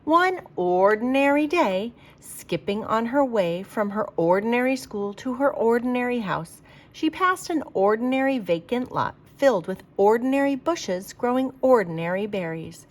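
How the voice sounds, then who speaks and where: Expressive woman reading a storybook aloud. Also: a little high, moderate pace. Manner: expressive, emphatic delivery for young listeners. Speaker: teacher reading picture books aloud for children. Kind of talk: read-aloud storytelling of a children's picture book.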